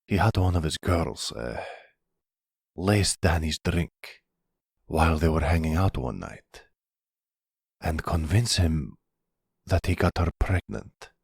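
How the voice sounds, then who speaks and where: Man playing a gravelly, timid young Russian mobster. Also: extremely low pitch, animated, no room sound, studio quality, heavily acted. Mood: subdued, hurt. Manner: halting, formal phrasing without contractions. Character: timid, wounded young mobster, a rejected bastard son. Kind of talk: ASMR roleplay audio drama.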